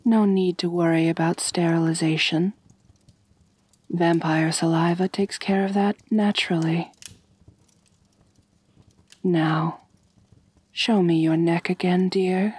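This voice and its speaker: A woman playing a refined, kind but possessive vampire mistress in a soft, intimate ASMR roleplay, gentle and doting, often near whispering. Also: lowish voice, fairly even intonation, slow, pauses often, no echo. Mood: doting, soothing. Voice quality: breathy.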